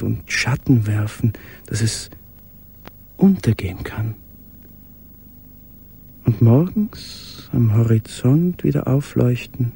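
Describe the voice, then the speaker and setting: An actor playing a confined, brooding, tender man who recites letters in a radio drama, hesitant and dreamy, with a breathy half-whisper. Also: medium pitch, mellow, exaggerated voice. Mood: melancholy.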